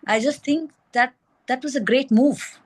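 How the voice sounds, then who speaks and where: A woman's voice: high-pitched, a little slow, a little mellow, no echo. A woman with an Indian accent sharing her opinions in an informal group discussion as one of the participants. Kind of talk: informal book club discussion.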